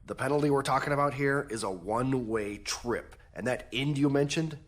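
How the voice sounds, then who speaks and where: A man acts out a slick crown prosecutor in a sci-fi audio drama. His mid-pitched voice is wry and insinuating. He delivers everything with theatrical, deal-making ease. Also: moderate pace, lightly acted. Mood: insinuating, cool. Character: smooth-talking, wry male crown prosecutor offering deals.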